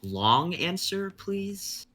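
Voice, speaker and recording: A man's voice: sits high, very animated, drawn-out words, bright, forceful tone, voice pushed up, exaggerated voice. A man voicing Kai, a determined young male warrior, asking plain questions. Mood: curious.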